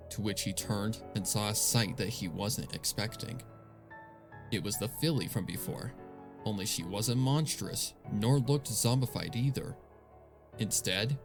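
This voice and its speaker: A man narrating a dark story in a somber, dramatic reading style, with music playing underneath. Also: fairly low pitch, vocal fry. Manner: somber, grave. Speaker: narrator, fan fiction reader.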